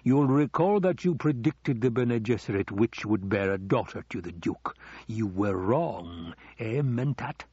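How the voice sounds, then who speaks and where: A man voicing a scheming, silkily menacing older nobleman in an audiobook, with slow, drawn-out taunts. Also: lowish voice, animated, darkish tone, breathy edge, no echo, dull audio. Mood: taunting.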